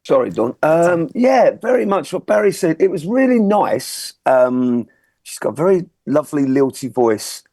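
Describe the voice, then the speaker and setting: Male panellist, casual chatty critique, fairly high pitch, dry close recording. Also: big pitch swings, normal speed, dark tone, no echo. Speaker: music review panellist.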